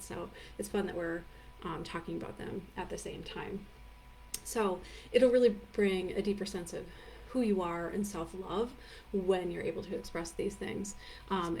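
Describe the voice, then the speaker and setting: A woman's voice: fairly low pitch, far from the mic, slight background noise, low-quality recording. A woman leading a live online training, talking casually with many fillers and answering viewer comments. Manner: chatty and warm-hearted, many fillers like "um" and "like"; reads viewer comments aloud and answers them. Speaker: energy healing teacher. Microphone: live video stream.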